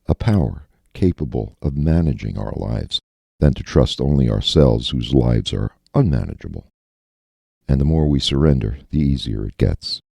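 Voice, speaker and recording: A man's voice: extremely low pitch, creaky, mellow, no room sound. A man in recovery earnestly reading a daily meditation passage aloud.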